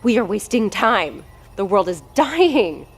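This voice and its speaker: A woman plays a callous, arrogant doctor in charge of a research unit. She is haughty, cutting and impatient, stressing her words in an average-pitched voice. It is scripted audio drama dialogue. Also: very animated, slow, husky, exaggerated voice. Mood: angry, exasperated.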